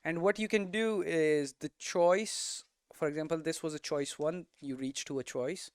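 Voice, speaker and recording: Clear, higher-pitched man explaining informally. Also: steady tone, unhurried, dry recording, clean studio sound. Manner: conversational, thinking aloud, frequent "you know" and "right?" tags. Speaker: game development streamer and teacher.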